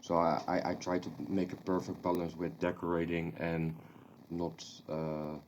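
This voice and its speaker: A man with a Dutch accent and a very low voice talks haltingly, starting over often and filling pauses as he speaks. Faint noise sits behind him. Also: monotone, darkish tone. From the Netherlands. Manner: halting, with many fillers and restarts. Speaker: guest and new world creator. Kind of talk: casual live-stream chat.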